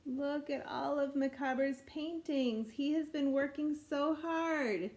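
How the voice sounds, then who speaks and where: Higher-voiced woman reading a picture book. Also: darkish tone. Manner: measured, storytelling delivery addressed to children. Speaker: storybook reader. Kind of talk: expressive read-aloud of a rhyming picture book.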